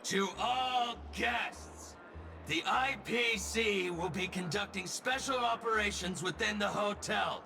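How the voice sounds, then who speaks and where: A man playing a bossy, gravelly armed squad leader barking officiously in a video game. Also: high-pitched, slow pace, background noise, full character voice. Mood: announcing.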